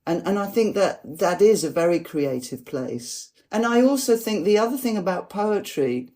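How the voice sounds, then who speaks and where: A woman poet speaking in an earnest, reflective way about language, slowing down to stress her ideas. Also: medium pitch, lively intonation, dark tone. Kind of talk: reflective conversation about poetry.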